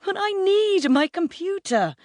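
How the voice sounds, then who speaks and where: A woman's voice: very animated, measured pace, darkish tone, no echo, studio quality, heavily acted. A woman voicing a panicky, sulky teenage boy in a story, pleading in terror. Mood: terrified. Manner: high-pitched terrified pleading.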